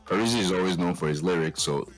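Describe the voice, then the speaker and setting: A man's voice: low voice, quick pace. A man co-hosting a casual music review, talking in a slangy, emphatic, informal way. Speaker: guest co-host of music podcast.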